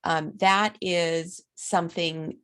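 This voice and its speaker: A middle-aged woman giving a recorded lecture, talking casually with many fillers, her voice raspy and dry from a recent illness. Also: fairly low pitch, steady tone, slow pace, a bit bright. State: recovering from illness. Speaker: instructor. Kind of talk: recorded lecture over slides.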